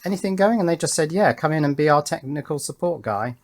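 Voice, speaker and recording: A man's voice: a little high, expressive, quick pace. A middle-aged man, talking casually and thoughtfully as he hosts an electronics tutorial, rambling a little in a matter-of-fact way. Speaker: electronics hobbyist video host. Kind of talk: casual chatty tutorial narration with reminiscence.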